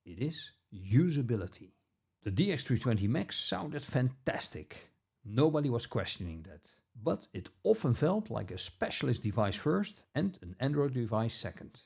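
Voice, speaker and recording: A man narrating a scripted product review as an audio gear reviewer, with measured, deliberate phrasing. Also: mid-range voice, sing-song, creaky, darkish tone, slightly rough.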